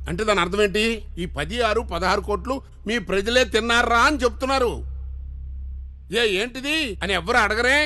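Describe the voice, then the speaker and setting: An actor playing a cynical, exasperated politician in a film, ranting colloquially with rhetorical questions. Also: male voice, high voice, sharp tone. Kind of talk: film dialogue monologue.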